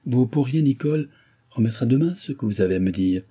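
A man's voice: mid-range voice, lively intonation, fairly quick, mellow, slightly rough, voice pushed up. A man reading aloud and voicing a tense young man who speaks guardedly, through clenched teeth. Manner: tight, guarded.

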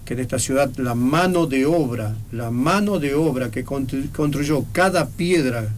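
A man's voice: medium pitch, normal speed, a little hiss or hum. A man with an Argentine accent speaking as a guest on a radio show, earnest and persuasive, stacking phrases in rhythm. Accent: Argentine Spanish. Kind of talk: casual radio interview.

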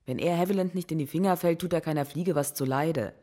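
A wounded but resolute woman in a crime radio play. She speaks with grave, measured delivery, like a survivor carrying a heavy past. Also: low voice, warm tone. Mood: pleading, firm.

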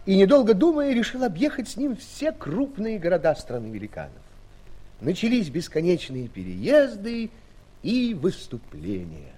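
A man narrating a fairy-tale audio play in an expressive, scripted storytelling style. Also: sits high, normal speed.

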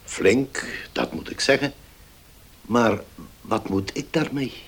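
An actor playing an older, well-off father in a radio play, indulgent and gruffly dignified, weighing his words. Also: mid-range voice, takes it slowly. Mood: cautious. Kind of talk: Dutch radio play acting.

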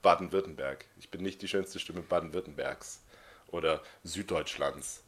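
Male co-host with a very deep voice, casual and deadpan, trading podcast banter. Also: normal speed.

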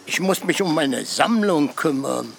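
An older man playing a fussy, excitable elderly best friend in a radio drama. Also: on the high side, a little slow, vocal fry. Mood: sceptical.

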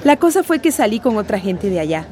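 A woman's voice: mid-range voice, big pitch swings, brisk, a crowd in the background, natural voice. A woman acting a weary, plain-spoken working-class migrant in a radio drama, telling of her ordeal. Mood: earnest, rueful. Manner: plain-spoken, colloquial storytelling.

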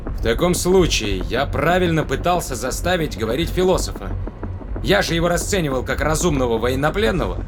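A man's voice: fairly high pitch, sing-song, brisk, over music. An actor playing a self-assured male spaceship commander in an audio drama, speaking in an offhand, matter-of-fact way. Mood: cool, conceding.

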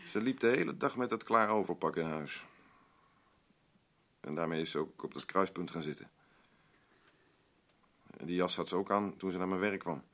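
An actor playing a blunt, rambling working-class husband in a radio drama, boastful, self-pitying and defensive. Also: male voice, deep voice, moderate pace, long pauses, fairly bright tone. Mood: bitter, defensive. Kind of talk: naturalistic radio drama dialogue.

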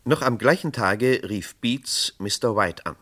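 A man narrates an old radio crime drama in a measured, matter-of-fact manner. His voice sits fairly low. The narration is scripted and even. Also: slightly rough.

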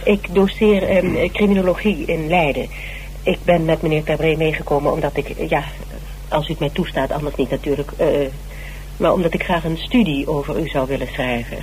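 A man's voice: a little high, fairly even intonation, moderate pace, a little mellow, close to an everyday voice, low-quality recording. An actor playing an eager, fawning male criminology lecturer who hesitates and repeats himself in a radio drama. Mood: earnest, hesitant. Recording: hissy old radio recording.

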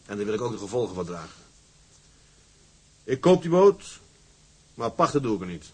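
A man's voice: fairly low pitch, sing-song, normal speed, mellow, husky. An actor playing a proud, independent seaman in a Dutch period drama, speaking bluntly and firmly but with respect. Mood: resolute. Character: proud, stubbornly independent seaman, plain-spoken and resolute.

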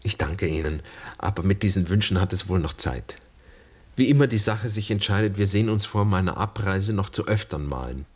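Earnest, husky-voiced serious man. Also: low voice, lively intonation, a little fast. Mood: reserved, polite. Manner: earnest, polite, probing. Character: serious, searching son and protective brother. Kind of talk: German radio drama acting.

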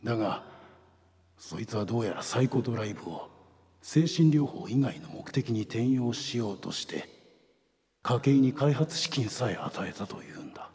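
An actor playing a grave older police section chief in a radio drama, gravelly and breathy, confiding in deliberate phrases. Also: male voice, mid-range voice, lively intonation, darkish tone. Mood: grave, secretive. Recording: long hall reverb.

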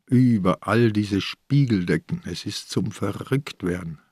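An actor playing an ailing, irritable old police commissioner in a radio crime drama, with a dry, sardonic manner. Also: male voice, fairly low pitch, a little slow, darkish tone, husky, no echo. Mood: uneasy, grumbling. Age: older.

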